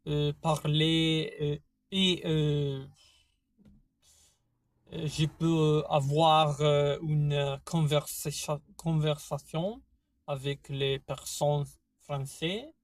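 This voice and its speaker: Italian accented guy vlogging, kinda high voice, keeps stalling with uh and restarting, dead dry sound. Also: steady tone, no echo. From Italy. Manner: halting, many fillers and restarts. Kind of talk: casual opinion monologue to camera.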